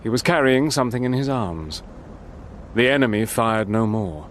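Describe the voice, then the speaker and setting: An actor playing a resolute British officer who narrates his own war story in a measured, grave way, in a radio play with artillery effects. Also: medium pitch, animated, slight background noise, lightly acted. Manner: measured and grave, sharpening under fire. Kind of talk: first-person radio drama narration.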